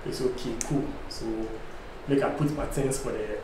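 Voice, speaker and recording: A man's voice: mid-range voice, moderate pace. A man in his thirties with a Ghanaian accent, animatedly telling a travel story on a podcast. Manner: animated storyteller, acts out police voices. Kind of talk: casual storytelling in Pidgin-tinged English. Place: dark studio set. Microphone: boom-arm studio mic. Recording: echoey room with faint hum.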